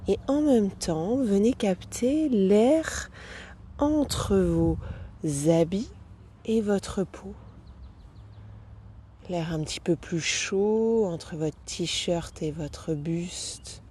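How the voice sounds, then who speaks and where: A woman guiding a yoga nidra meditation as a teacher, speaking calmly and gently with long pauses between phrases. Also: mid-range voice, a little hiss or hum. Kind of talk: guided meditation, soothing instruction.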